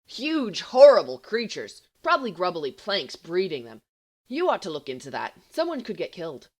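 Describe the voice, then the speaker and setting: A woman voicing a cheeky, melodramatic teenage schoolboy in an audiobook, fibbing smoothly with blustering exaggeration. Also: fairly low pitch, wildly swooping pitch, moderate pace. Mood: brazen exaggeration. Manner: blustering, exaggerating, mock-confidential. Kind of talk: audiobook character voice.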